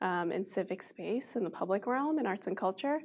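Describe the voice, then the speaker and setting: A woman's voice: medium pitch, lively intonation, a little fast, a little mellow. Reflective American woman, conversational. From Boston, United States. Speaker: interview guest. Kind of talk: conversational interview answers.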